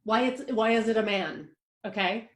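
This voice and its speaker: A woman's voice: medium pitch, moderate pace, lightly acted. A woman playing an anxious, theatrical playwright-director who gushes and rambles dramatically. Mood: excited. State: panicked shouting near tears.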